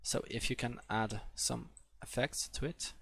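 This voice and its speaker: Gravelly-voiced Polish man teaching code. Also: medium pitch, fairly even intonation, unhurried, fairly bright tone. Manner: hesitant, many fillers and restarts. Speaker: coding instructor. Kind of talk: live-streamed coding tutorial.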